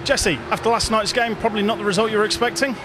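High-voiced male interviewer in a noisy venue. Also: fairly even intonation, fast talker. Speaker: interviewer for a fan video channel. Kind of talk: post-game interview questions. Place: indoor sports hall. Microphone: handheld interview microphone. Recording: noisy venue with background ambience.

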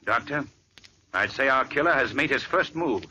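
A calm detective who reasons out clues in a measured, lively delivery. The voice is bright, with faint hiss behind it. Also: male voice, medium pitch, poor audio. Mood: probing. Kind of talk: 1940s radio acting.

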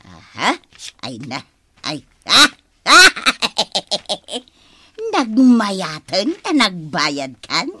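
A woman's voice: mid-range voice, sing-song, very slow, sharp tone, breathy edge, no echo, heavily acted. A woman playing a gossipy, pushy neighbour who collects debts in an Ilocano radio comedy drama, with an Ilocano accent and exclamatory, sing-song delivery. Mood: gleeful.

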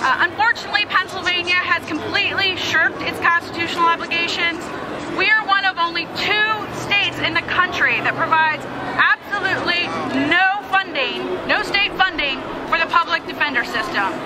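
A woman's voice: very high voice, normal speed. A woman speaking publicly as an advocate at a rally, explaining things in a semi-extemporaneous way. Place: outdoors in a city. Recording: loud outdoor crowd and traffic noise.

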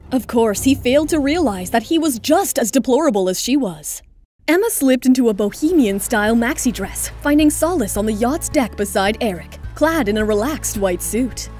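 A woman narrates a scripted audio drama. Her voice is quite high yet mellow and rounded, with light music beneath the storytelling.

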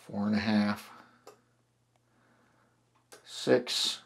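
A man walks through making a print in his home darkroom, talking slowly and thinking out loud as he works. His voice sits low with a gravelly edge, and he often stops to restart a phrase. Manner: relaxed, thinking aloud with fillers and restarts. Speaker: photography channel host. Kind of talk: hands-on darkroom tutorial. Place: home darkroom in a laundry room.

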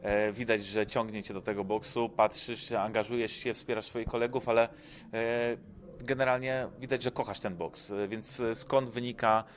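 Male sports interviewer, lowish voice, casual halting questions. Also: little pitch movement, slight background noise. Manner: informal, hesitant questions with fillers.

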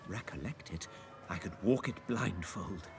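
A man's voice: low voice, a little slow, gravelly, music in the background. An actor playing a timid, reluctant old miserly businessman in an audio drama, hesitant and querulous. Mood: wistful.

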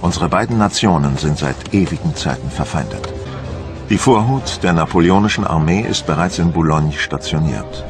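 A man's voice: low voice, lively intonation, normal speed. An actor playing a Frenchman of Napoleon's time, narrating a scripted historical documentary. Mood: measured, grave. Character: Frenchman of Napoleon's time recounting invasion preparations. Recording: music behind the voice.

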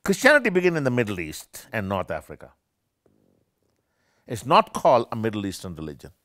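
An older man, a professor, giving an animated and discursive academic lecture with many self-corrections, seated in a small room with armchairs. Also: mid-range voice, brisk, no room sound, studio quality. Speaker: keynote speaker, professor.

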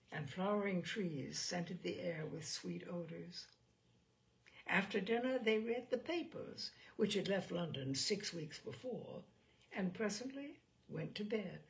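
Expressive woman narrator with a creaky edge. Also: mid-range voice. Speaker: storyteller reading fiction aloud. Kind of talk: literary audiobook narration.

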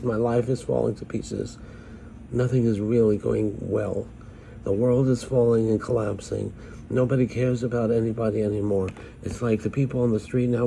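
A man's voice: mid-range voice, vocal fry, dark tone, slight background noise, close to an everyday voice, rough recording. A man on a phone camera playing a struggling homeless fortune-teller woman, talking in a pleading, plaintive way. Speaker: vlogger in character. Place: small room indoors. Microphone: phone at arm's length.

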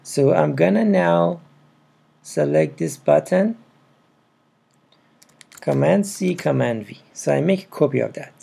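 A man with a non-native English accent narrates a casual step-by-step tutorial, with halting, broken phrasing. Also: fairly high pitch, gravelly, warm tone, slightly rough. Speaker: programming tutorial presenter. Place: indoors at a computer.

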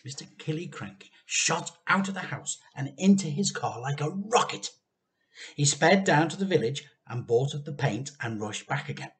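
A man in his fifties reading a children's story aloud, animated and emphatic, drawing out key words. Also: on the high side, normal speed. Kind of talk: expressive children's storybook narration. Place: small living room indoors.

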